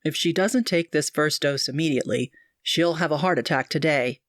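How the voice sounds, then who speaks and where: A woman voicing an earnest young male doctor who speaks low, grave and pleading. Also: moderate pace, dry recording, clean studio sound, close to an everyday voice. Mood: urgent.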